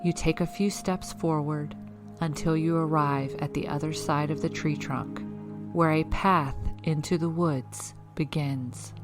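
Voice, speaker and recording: A woman leading a guided meditation in a calm, measured, instructional way, reading scripted narration. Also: deep voice. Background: background music.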